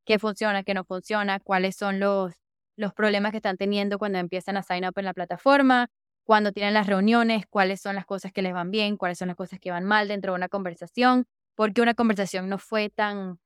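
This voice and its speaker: A young woman from Venezuela talks as an interview guest, upbeat and eager, often repeating her intensifiers. Her voice is smooth and resonant, and it sits at an ordinary pitch for a woman. The sound is dry and close. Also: steady tone, a little fast, no room sound. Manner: enthusiastic, repeats "de verdad que" and "súper". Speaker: podcast guest, user researcher. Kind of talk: casual interview chat.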